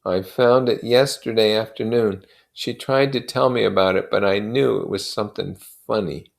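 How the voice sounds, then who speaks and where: Man acting a brooding, grief-dazed husband, halting and muttering. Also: on the low side, creaky, voice pushed up. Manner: slow, muttering, halting, emphatic. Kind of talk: dramatized novel reading.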